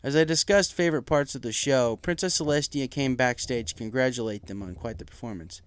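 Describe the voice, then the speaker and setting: A man's voice: medium pitch, a little fast, slight background noise. A man reading a story aloud as dramatic scripted narration. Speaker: story reader. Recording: music playing behind the voice. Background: music.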